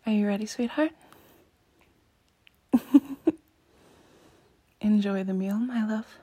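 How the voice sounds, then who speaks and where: A woman in an intimate adult audio roleplay, speaking in a breathy, teasing near-whisper with frequent sighs. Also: mid-range voice, steady tone, mellow. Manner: slow, intimate, teasing, sighing.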